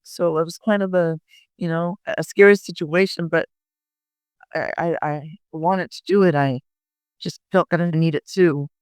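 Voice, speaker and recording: A woman's voice: lowish voice, steady tone, normal speed, darkish tone, smooth, no echo, studio quality. A woman in her fifties giving personal recollections as an interviewee, hesitant and often repeating her words.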